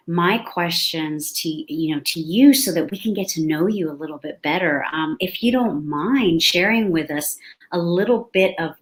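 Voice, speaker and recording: A woman's voice: on the low side, rough recording. A woman hosting an interview, asking questions and often restarting her phrases. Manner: restarts phrases, speeds up and slows down. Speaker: host.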